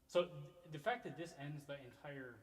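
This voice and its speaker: A man, a classical guitarist, chatting casually with his duo partner in a rehearsal and pausing often to find his words. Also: fairly high pitch, expressive. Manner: hesitant, many ums and restarts.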